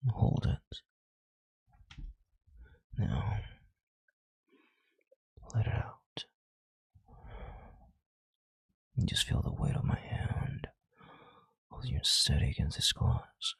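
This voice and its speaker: A man playing a calm, controlling, arrogant chief executive in an intimate audio roleplay, with slow, deliberate, condescending authority and a hushed delivery. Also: no echo, full character voice. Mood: hushed, commanding. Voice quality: deep, gravelly, breathy half-whisper. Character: calm, controlling, arrogant CEO soothing his analyst.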